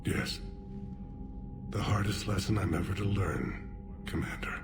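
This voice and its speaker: A man playing a dignified, grieving alien leader in a video game, speaking formally and gravely. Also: sits low, unhurried, over music. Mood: solemn, grieving. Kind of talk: video game cutscene acting.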